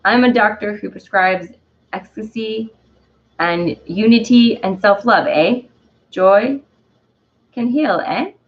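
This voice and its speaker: Casting: a woman as a theatrical French-accented dandelion spirit, storybook style, exuberant and self-loving, unhurried, on a slightly dull recording. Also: medium pitch, lightly acted. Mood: proud, playful. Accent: put-on French. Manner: theatrical, halting, often tagging phrases with "eh". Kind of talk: dramatic storybook-style reading.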